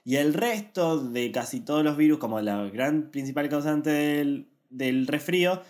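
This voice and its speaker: A man's voice: on the high side, expressive, a little slow, a little mellow. A man with an Argentine Spanish accent, co-hosting a podcast and explaining an article in a casual, meandering way. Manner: explanatory, rambling with restarts. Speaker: podcast co-host. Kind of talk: casual chat.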